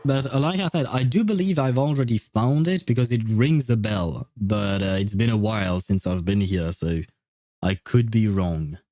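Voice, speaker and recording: A man's voice: on the low side, moderate pace, dark tone. A young man casually commenting on his gameplay, chatty, laughing often and swearing. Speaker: game streamer.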